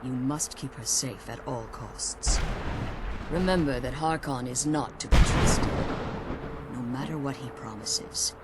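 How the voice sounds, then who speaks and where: An actress playing a stern, protective ancient vampire mother in a video game, speaking in formal, measured, eloquent phrases. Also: very deep voice. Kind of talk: video game character acting. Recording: very loud battle-like noise behind.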